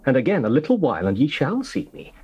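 An actor playing a puzzled male disciple questioning his companions in a scripture drama, animated and husky. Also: mid-range voice, fast, lo-fi sound, full character voice. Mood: puzzled, agitated.

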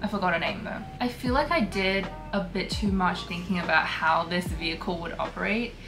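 Young woman from Hong Kong, relaxed candid vlogger, slightly low voice, music under the voice. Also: brisk. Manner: chatty, many fillers, relaxed and candid. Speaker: concept artist. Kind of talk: casual talk over artwork. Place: small bedroom studio, indoors.

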